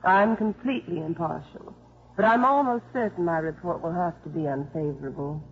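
An actress playing a crisp, formal female court investigator who also narrates, in a 1950s radio drama. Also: fairly low pitch, moderate pace, close to an everyday voice. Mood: prim, official. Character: prim, composed young female court welfare investigator. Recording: muffled 1950s radio recording, music behind.

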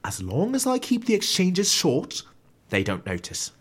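A self-doubting famous comedian in an audio comedy. He is anxious and sheepish, hesitating as he speaks. His fairly high voice moves up and down in a lively way. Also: measured pace, breathy edge, heavily acted. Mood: wistful, confiding. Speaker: actor in an audio comedy drama. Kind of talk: scripted comedy audio drama dialogue.

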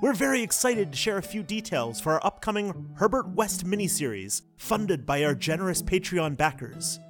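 A man reading a scripted podcast announcement as the show's producer. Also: high voice. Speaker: executive producer. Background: faint music.

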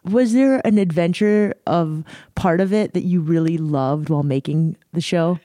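A woman's voice: on the low side, moderate pace, dark tone, no echo. Enthusiastic woman podcast host gushing over her guest, dead dry room. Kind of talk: casual interview talk.

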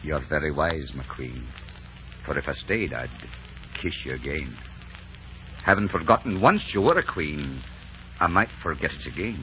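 An actor playing a bold, ardent Scottish lord in a theatrical, romantic 1940s radio drama style. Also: male voice, extremely low pitch, expressive, normal speed, long pauses, sharp tone, a little hiss or hum, exaggerated voice. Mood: romantic longing. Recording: dull 1940s radio broadcast recording.

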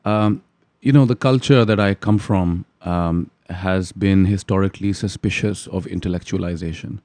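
A man, giving articulate, measured interview answers with many pauses. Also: sits low, darkish tone, no room sound. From Pakistan. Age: 30s. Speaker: guest.

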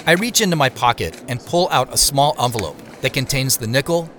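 Guy acting a dry, weary lead in an audio drama telling his own story, lots of pitch movement, faint traffic noise. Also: lively intonation, fairly quick, a bit bright, close to an everyday voice. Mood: measured, watchful. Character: weary, dryly joking man narrating his own covert quest. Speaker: narrator and lead character. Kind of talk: first-person audio drama narration and dialogue.

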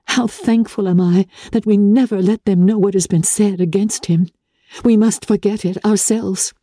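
A woman reading a classic novel, voicing an anxious, gentle young gentlewoman in an earnest, tender manner. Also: mid-range voice, no echo, clean studio sound, voice pushed up, lightly acted. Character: anxious, gentle, hopeful young gentlewoman writing to her sister.

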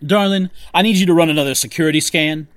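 A man's voice: fairly high pitch, fast, no room sound, close to an everyday voice. A man playing a controlling, impatient faction leader in a sci-fi audio drama, talking in a clipped, commanding, weary way. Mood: worried, driven.

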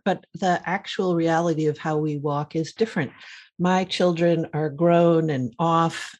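A middle-aged woman with a gravelly morning voice, co-hosting a casual podcast chat in a warm, reflective way. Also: low voice. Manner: warm, reflective, asks questions. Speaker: podcast co-host.

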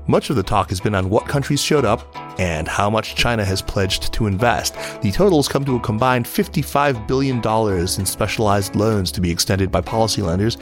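A low-voiced man presents a scripted business news podcast as its host. His delivery is that of a news roundup, with music playing underneath. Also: normal speed, few pauses.

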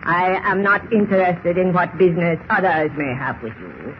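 An actor playing a menacing, imperious Egyptian villain who speaks formally and precisely in a 1940s radio style. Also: male voice, high-pitched, expressive, normal speed. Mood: menacing, impatient. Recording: muffled, slightly noisy old radio recording.

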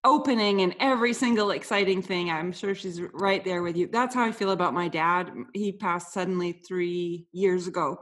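A woman's voice: fairly low pitch, normal speed, hardly any pauses. A woman hosting a podcast interview, talkative and friendly, with casual fillers. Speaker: podcast host.

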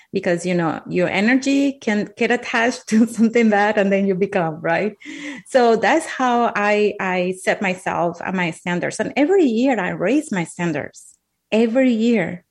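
A woman from Colombia with a Colombian Spanish accent, speaking English as a second language, earnestly recounting her life as an interview guest. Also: lowish voice. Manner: earnest, emphatic, repeats words while thinking. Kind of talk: heartfelt personal storytelling.

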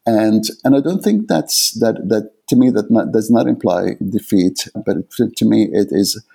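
A reflective Arabic-speaking man in his fifties from the Middle East speaks as an interviewed author. His voice is gravelly, dark and mellow, with a husky edge. He often stops and repeats words while he looks for the right phrasing. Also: on the low side, animated, moderate pace.

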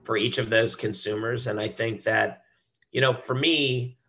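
A man's voice: mid-range voice, steady tone, a little slow, fairly bright tone, slightly rough. A man speaking as a brand director and interview guest in a conversational business style, often repeating words as he explains.

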